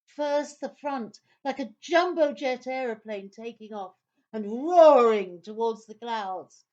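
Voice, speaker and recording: A woman narrating a scripted children's story in an expressive, dramatic way. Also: fairly high pitch, slow pace, dull audio.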